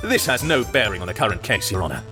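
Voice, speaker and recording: An actor playing a haughty, composed rival prosecutor in a video game drama, formal, pompous and declamatory. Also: male voice, on the low side, big pitch swings, fast, over loud music. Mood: assertive.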